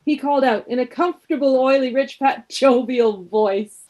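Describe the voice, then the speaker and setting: A woman narrating a radio play as an expressive storyteller, reading scripted prose. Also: high voice, normal speed, highs cut off, close to an everyday voice.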